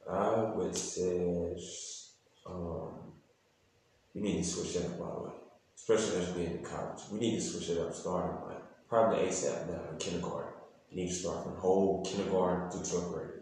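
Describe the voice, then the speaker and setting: A man's voice: deep voice, steady tone, normal speed, darkish tone. A man of twenty-one talking casually as an interview guest, trailing off and repeating phrases. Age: 20s. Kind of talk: casual conversation. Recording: echoey room from a distance, faint noise.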